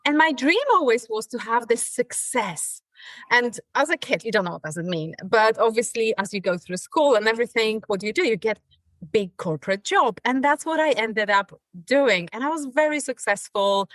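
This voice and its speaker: A woman's voice: on the high side, animated, moderate pace, fairly bright tone, no echo. A woman from Poland with a Polish accent, a podcast guest telling her story earnestly with rising emphasis. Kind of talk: podcast interview.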